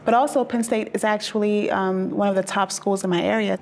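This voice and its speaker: A young woman from Maryland, a graduate student, speaking earnestly and enthusiastically with thoughtful pauses. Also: medium pitch, fairly even intonation, vocal fry, darkish tone, a little hiss or hum. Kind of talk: casual group interview.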